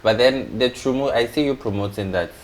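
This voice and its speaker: A man's voice: medium pitch, brisk, slight background noise. A man hosting an interview, speaking briefly and casually with short affirmations. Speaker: interview host.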